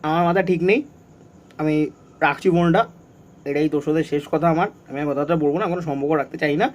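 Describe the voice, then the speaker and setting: Fast, high-pitched young man. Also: steady tone. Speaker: prank video creator. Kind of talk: casual vlog talk and phone call.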